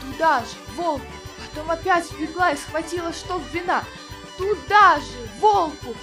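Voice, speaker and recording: Woman storyteller, folk tale, sing-song, high voice, music behind. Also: unhurried.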